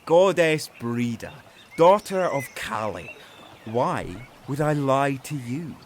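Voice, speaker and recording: An actor playing a smooth-talking, deceitful man with a coaxing, reassuring manner in an audio drama. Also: medium pitch, animated, slow pace, slight background noise. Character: smooth-talking mortal man turned godlike, evasive, deceitful. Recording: outdoor boat ambience behind voice.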